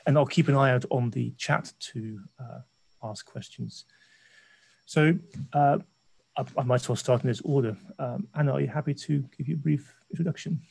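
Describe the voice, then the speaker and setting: A man's voice: medium pitch, fairly even intonation, moderate pace, vocal fry, a little mellow, breathy edge. A man in his forties politely moderating an academic webinar panel on a video call, with frequent hesitations.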